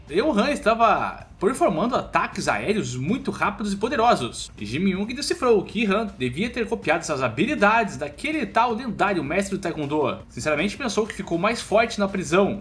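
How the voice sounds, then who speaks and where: A man's voice: sits high, a bit bright. A man with a Brazilian accent narrating a scripted story recap in casual language, recorded with music underneath. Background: background music.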